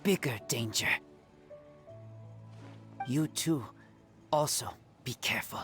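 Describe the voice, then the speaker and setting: Earnest game character raised by wolves. Very slow, broken sentences, light music underneath. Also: male voice, medium pitch, a bit bright. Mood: wary. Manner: halting, terse, broken sentences. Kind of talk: video game voice acting.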